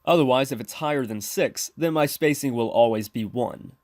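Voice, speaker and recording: Man, tutorial presenter, scripted instructional narration, methodical, medium pitch, dry close-mic voice-over. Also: moderate pace, darkish tone, no room sound. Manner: methodical, step-by-step explaining. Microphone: close mic, screen-recording voice-over.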